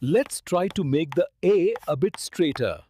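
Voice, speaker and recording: A woman voicing a gentle, loving elderly village grandfather in a cartoon story, tender and kindly. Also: low voice, animated, unhurried, faint music behind.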